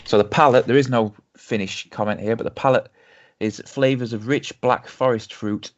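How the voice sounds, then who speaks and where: A man with a Yorkshire accent co-hosting a casual whisky tasting podcast. He reads tasting notes slowly and teases his friend. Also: medium pitch, steady tone. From Yorkshire, England. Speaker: podcast co-host. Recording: dull lo-fi home podcast audio.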